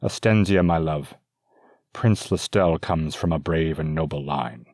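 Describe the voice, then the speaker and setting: A stern older nobleman refuses his daughter's protests, firm and commanding, as a man puts him on. His voice is very deep and husky, in a dry room. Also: measured pace, darkish tone, dry recording, exaggerated voice.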